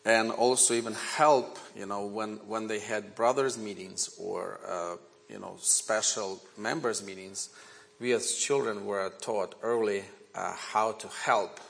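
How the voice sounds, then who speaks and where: A man in his forties with a Ukrainian accent, a native Ukrainian speaker, preaching a sermon woven with his own testimony in an earnest, measured way. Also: fairly low pitch, steady tone, creaky, a bit bright, low-quality recording. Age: 40s. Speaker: guest preacher.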